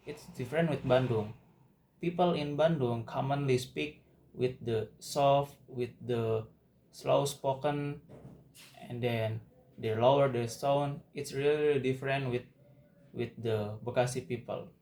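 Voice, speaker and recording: A young man from Indonesia with an Indonesian accent, a university student speaking English in a class assignment monologue, halting and restarting often. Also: medium pitch, fairly even intonation, a little mellow, a little hiss or hum. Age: young adult. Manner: slow, halting, with frequent restarts and repeated words.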